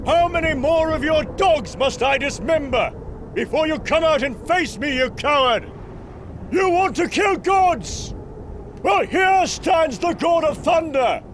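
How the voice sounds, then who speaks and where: A man's voice: extremely high pitch, expressive, a little slow, fairly bright tone, full character voice. A man playing a proud, wrathful Norse thunder god in a comic book audio drama, declaiming grandly, on a noisy recording. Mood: furious, defiant.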